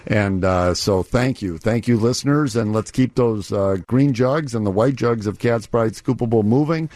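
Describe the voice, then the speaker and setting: A man's voice: lowish voice, normal speed, a little mellow, no room sound. A middle-aged man, a company executive, explaining his business earnestly in a conversational radio interview.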